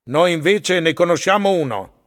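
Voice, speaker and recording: A man's voice: a little high, lively intonation, moderate pace, fairly bright tone. A man working as an interviewer, putting questions in a radio piece. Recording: clear background noise and ambience.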